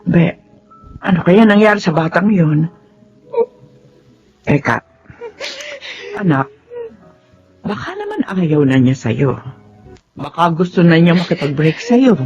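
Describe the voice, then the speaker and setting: A woman's voice: on the low side, sing-song, takes it slowly, pauses often, darkish tone, lo-fi sound, faint music behind, exaggerated voice. A woman playing a worried, reproachful middle-aged mother with a Filipino accent in an emotional drama, pressing with questions. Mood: frank, advising. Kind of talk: emotional radio-drama acting.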